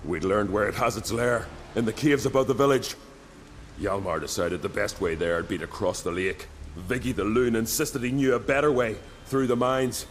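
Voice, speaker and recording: An actor playing a loyal, hot-headed young island warrior in a video game, with an earnest, colloquial way of telling a tale. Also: male voice, on the low side, normal speed, slight background noise, natural voice. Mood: rueful, eager. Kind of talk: dramatic video game voice acting.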